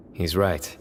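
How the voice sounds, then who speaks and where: An actor playing a serious, reserved young investigator in a video game, speaking in a measured, guarded way. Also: male voice, low-pitched, background noise. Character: stern, reserved, deliberate young male investigator.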